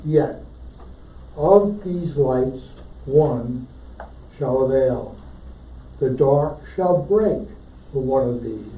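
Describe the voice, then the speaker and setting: Guy reading a poem super slowly, dark mellow voice, echoey room. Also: medium pitch, animated. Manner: deliberate, broken into short phrases. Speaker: poetry reader. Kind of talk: poetry recitation at a live reading. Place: indoor library poetry reading. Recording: echoey room, distant from mic.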